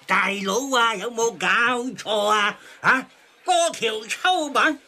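An actor playing an exasperated, grumbling uncle in a satirical radio drama, speaking Hong Kong Cantonese. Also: male voice, extremely high pitch, expressive, slow, bright, forceful tone, a little hiss or hum, full character voice. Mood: indignant.

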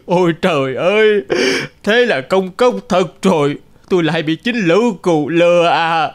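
A man playing a distraught, regretful middle-aged sheep farmer in an animated fable, exclaiming and lamenting. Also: high-pitched, a little slow. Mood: despairing.

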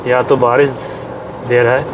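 A man's voice: medium pitch, flat delivery, moderate pace, very noisy. Chatty man narrating a travel vlog. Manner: chatty, pointing things out to viewers. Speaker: travel vlogger. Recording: music playing underneath.

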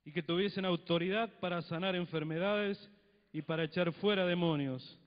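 A man's voice: high voice, moderate pace. A middle-aged man with a Cuyo Argentine accent preaching a sermon on a handheld mic in a church hall, chatty, with frequent tag questions. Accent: Cuyo Argentine Spanish. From Mendoza, Argentina. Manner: conversational preaching, tag questions, acts out little dialogues. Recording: dull lo-fi sound, long hall reverb.

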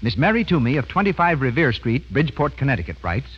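A male American radio announcer from the 1930s with a husky edge and lively, swooping pitch. He sells formally and emphatically, every word carefully placed. The recording is muffled, like an old broadcast. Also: quick pace.

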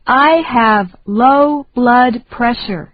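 Woman, very slow, careful phrase reading, muffled lo-fi sound. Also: on the high side, no echo. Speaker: English lesson reader.

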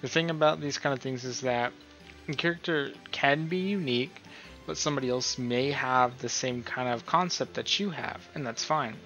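A man's voice: mid-range voice, normal speed, bright, forceful tone. A man giving a casual, unscripted tutorial in a rambling, hesitant, self-deprecating way. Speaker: tutorial host. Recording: dull lo-fi mic, music behind. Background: music.

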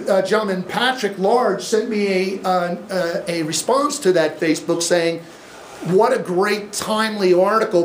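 Midwestern male coach, middle-aged, upbeat lecture, slightly high voice, recorded from the back of a reverberant room. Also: recorded from a distance. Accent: Midwestern American. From American Midwest. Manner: energetic, gesturing, conversational with fillers. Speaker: swim coach giving a talk. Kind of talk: live presentation to an audience. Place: dim indoor event room, in front of a projection screen. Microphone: room camera from the audience, no mic seen. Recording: echoey room, voice from afar, faint noise.

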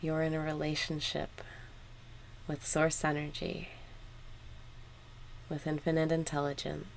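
A woman leading a scripted guided meditation in a measured, instructional way with long pauses. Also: low-pitched, completely flat delivery, gravelly, a little hiss or hum, poor audio.

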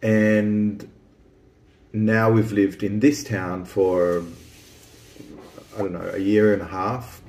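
A man's voice: fairly low pitch, flat delivery, takes it slowly, pauses often, darkish tone, echoey room, a little hiss or hum, rough recording. A man who grew up in Australia, hosting a casual chat about small-town life, rambling with lots of restarts and ums. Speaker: vlog host.

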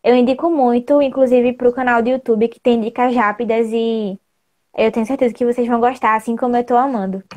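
A woman's voice: a little high, fairly even intonation, fairly quick, darkish tone. A woman in her twenties with a northeastern Brazilian accent, a student guest explaining things in a casual, chatty way. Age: 20s. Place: indoor room at home. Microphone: wired earphone mic on a video call.

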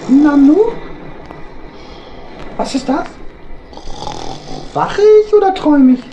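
A woman's voice: sits high, takes it slowly, mellow, lo-fi sound. A woman playing an eager little male hedgehog, a deferential ship's mate in a children's radio play. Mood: startled, worried. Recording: noisy, engine and storm ambience.

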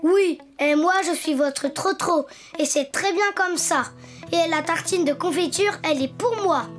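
A woman's voice: very high-pitched, a little slow. A woman voicing a playful, imaginative little boy donkey in a preschool cartoon, with a chirpy, childlike manner. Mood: indignant. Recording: music bed behind the voice.

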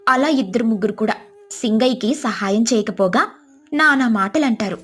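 Woman telling a scripted story, highish voice, bit of music under her. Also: normal speed, breathy edge.

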